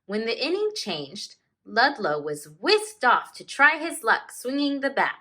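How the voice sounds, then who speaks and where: A woman with a high, bright voice speaks as a teacher sharing a story with young children. She reads expressively and takes her time, with lively swings in pitch and deliberate emphasis on key words. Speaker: teacher reading a picture book aloud.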